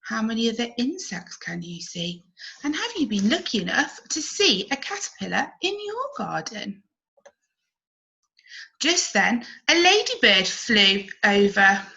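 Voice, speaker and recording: Bright, animated woman reading to young children. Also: mid-range voice, dull audio. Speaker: primary school storyteller. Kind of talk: expressive children's story reading.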